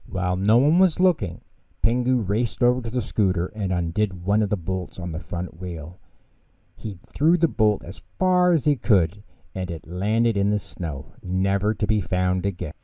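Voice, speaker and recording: A man narrating children's bedtime stories in an expressive storytelling style. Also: low voice, normal speed, no room sound. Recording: dull, lo-fi close recording.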